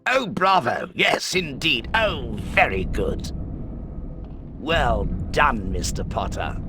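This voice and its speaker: An actor playing an eccentric, courteous old wandmaker in a video game, speaking in a deliberate, theatrical, musing way. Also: male voice, medium pitch, sing-song, loud music behind the voice. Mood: instructive, encouraging. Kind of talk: scripted video game character acting.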